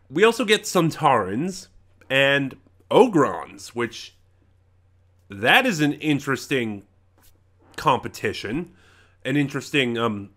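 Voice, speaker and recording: A man reviewing in a casual, unscripted way, opinionated and rambling, halting and correcting himself as he goes. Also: medium pitch, bright, forceful tone. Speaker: reviewer, channel host.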